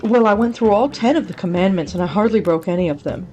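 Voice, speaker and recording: A woman's voice: mid-range voice, fast, a little mellow, highs cut off, close to an everyday voice. A woman voicing a prim, orderly old woman who is polite, proper and easily indignant in an audio story. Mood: confident.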